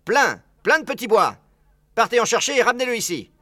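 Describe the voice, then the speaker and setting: A man's voice: very high voice, big pitch swings, fairly quick, bright, forceful tone, no room sound, clean studio sound, heavily acted. A man voicing a bossy, eager father bird in a children's storybook, commanding and emphatic.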